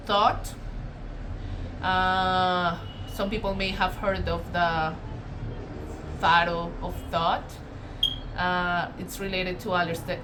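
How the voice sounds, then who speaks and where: A woman's voice: mid-range voice, low-quality recording. A woman from Colombia with a Colombian Spanish accent, whose first language is Spanish, giving a chatty, spiritual tarot reading in English and often correcting herself mid-sentence. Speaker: tarot reader. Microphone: built-in device mic, no external microphone.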